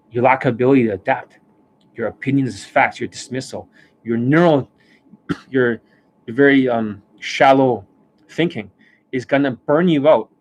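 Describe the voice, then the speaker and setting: A man hosting a live stream as a dating coach. He rants bluntly and swears often, and his voice is worn and hoarse from overuse, with coughs and throat clearing. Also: mid-range voice, a little hiss or hum, low-quality recording. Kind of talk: livestream monologue. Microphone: desk microphone.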